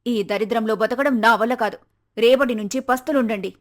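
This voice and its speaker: A woman's voice: on the high side, a little fast, natural voice. A woman voicing a poor, greedy village wife with an emotional, lamenting manner in a moral folktale. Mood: bitter, scolding. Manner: scolding, lamenting. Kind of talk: animated moral folktale acting. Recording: music behind.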